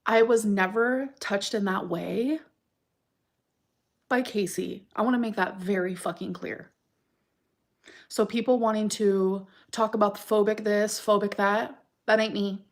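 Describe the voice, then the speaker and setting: A woman's voice: mid-range voice, fairly even intonation, measured pace. A woman talking casually to camera in a defensive, blunt and emphatic way, with swearing.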